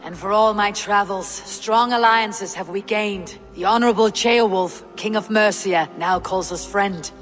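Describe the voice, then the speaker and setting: An actress playing a proud, commanding Viking clan leader in a video game, with a measured, declamatory manner. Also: female voice, mid-range voice, natural voice. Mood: proud oratory. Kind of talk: video game cutscene acting. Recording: reverberant hall with music behind.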